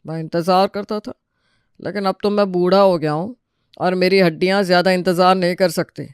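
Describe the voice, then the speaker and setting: A man voicing a bossy, sarcastic middle-aged husband and father who nags in an animated family story. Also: high voice, moderate pace, clear, resonant tone, no echo, clean studio sound. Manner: sarcastic, nagging.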